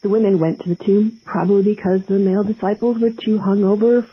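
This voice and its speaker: A woman's voice: mid-range voice, steady tone, fairly quick, slightly rough. A woman playing an assertive feminist caller to a comedy podcast, insistent and corrective, over a phone line. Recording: dull, muffled call-in line.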